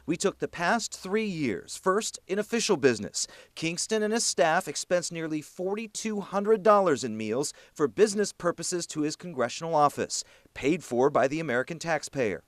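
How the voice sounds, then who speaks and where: High-pitched, animated male news reporter. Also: moderate pace, few pauses, no echo, clean studio sound. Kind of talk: scripted news report narration.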